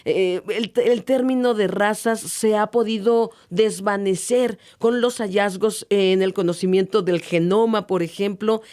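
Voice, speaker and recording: A woman hosts an interview program in a medium-pitched voice. She frames topics and questions in a measured, presenter-like way. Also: fairly even intonation, darkish tone. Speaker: host.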